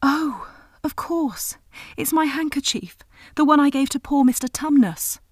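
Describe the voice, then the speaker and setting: A woman reading a storybook aloud, voicing an eager, excited little girl. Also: unhurried, dry recording, clean studio sound. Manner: high and eager.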